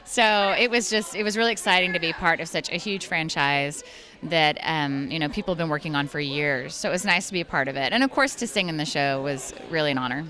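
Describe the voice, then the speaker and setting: A woman voice actress and singer chatting in a convention interview, bubbly and quick to laugh. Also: sits low, sharp tone.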